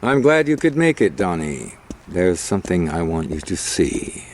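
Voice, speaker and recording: An actor playing a smug, menacing gang boss in a video game cutscene, talking slowly and condescendingly. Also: male voice, deep voice, sing-song, a little mellow. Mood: cold condescension. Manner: slow, condescending, coolly menacing.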